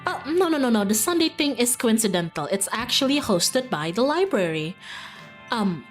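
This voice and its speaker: A chatty young small-town woman from a visual novel, read out by a woman streamer. She is upbeat and easygoing, with lively swings in pitch. Music plays softly underneath. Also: normal speed. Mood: easygoing, candid. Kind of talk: visual novel character reading. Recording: music in the background.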